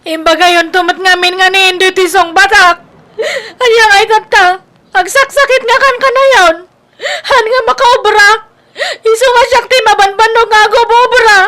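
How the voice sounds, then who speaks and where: An actress playing a discontented, whiny young wife and daughter in an Ilocano radio comedy drama, speaking in a plaintive, dramatic way. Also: female voice, extremely high pitch, steady tone, normal speed, bright, forceful tone. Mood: wailing, tearful. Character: discontented wife, whiny daughter leaving her sick husband.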